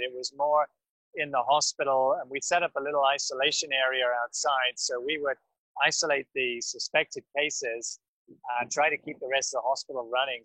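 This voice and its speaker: Male doctor guest recounting field work, even and measured, forceful bright voice, close dry mic. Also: mid-range voice, no echo, poor audio. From grew up in West Africa. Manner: calm, measured storytelling with fillers. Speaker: pediatric doctor. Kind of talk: podcast interview, recounting experience.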